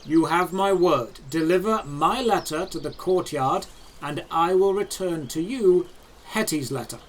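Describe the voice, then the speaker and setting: Man playing high-pitched, unflappable old knight spymaster. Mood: calm, commanding. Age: older. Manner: measured, emotionless, matter-of-fact. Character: calm, blank, all-knowing old male knight spymaster. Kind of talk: fantasy audio drama dialogue.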